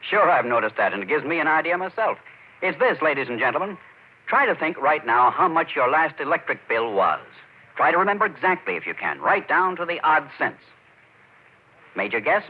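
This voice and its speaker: A male announcer delivers a persuasive, folksy sales message in 1940s radio style. His voice is fairly high and very animated. It comes through a narrow, tinny vintage broadcast recording. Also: brisk, breathy edge. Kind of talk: 1940s radio sponsor pitch.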